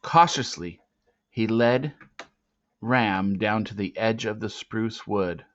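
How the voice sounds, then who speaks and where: A man reading a storybook aloud as narrator, with dramatic, suspenseful swells and hushed drops. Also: lowish voice, slow pace. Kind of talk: expressive storybook read-aloud. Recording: dull lo-fi, roomy echo.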